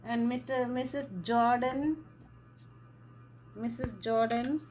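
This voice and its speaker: A woman teaching a lesson on a play, talking slowly and repeating words and phrases as she writes. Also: on the high side, fairly even intonation, a little mellow, slight background noise. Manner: slow, repeats words and phrases while writing. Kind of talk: classroom lesson explaining a play.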